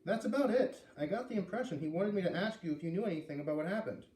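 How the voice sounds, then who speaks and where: A calm middle-aged father from a storybook , read by a man. He is measured and matter-of-fact with a soft, mellow tone, picked up from a little way off in a roomy space. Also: high voice, voice pushed up. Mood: inquiring. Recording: roomy, distant mic with light noise.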